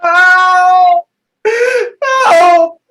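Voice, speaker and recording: A woman's voice: extremely high pitch, completely flat delivery, drawn-out words, a bit bright, faint music behind, heavily acted. A woman playing a frightened captive who pleads and cries for help in a screenplay table read. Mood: terrified, pleading.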